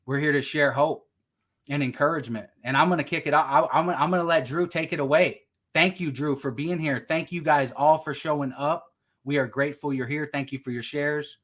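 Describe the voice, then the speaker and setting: A man's voice: on the high side, steady tone, brisk, fairly bright tone. A man hosting a recovery ministry live stream, talking with energy and a preacher-like cadence, repeating phrases for emphasis. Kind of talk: upbeat host introduction.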